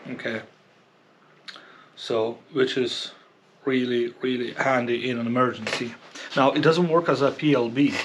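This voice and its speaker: A man presents a casual, unscripted product review at a slow pace and a middling pitch. He explains things haltingly, often checking that the listener follows. Some background noise sits behind his voice. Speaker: fishing channel presenter reviewing gear.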